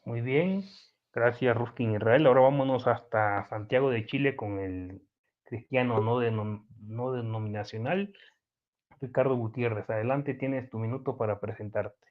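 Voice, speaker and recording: A man with a mid-range voice hosts a debate, laying out the format in a brisk, formal way. His voice comes through thin and tinny, like a phone line. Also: mid-range voice. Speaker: host. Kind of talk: introducing an online debate. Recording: phone-like narrow sound.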